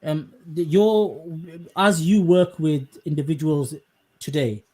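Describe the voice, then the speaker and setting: A man's voice: a little high, takes it slowly, warm tone. A man hosting a podcast conversation, courteous and hesitant, often repeating words as he searches for his phrasing. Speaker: podcast host. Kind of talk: conversational interview.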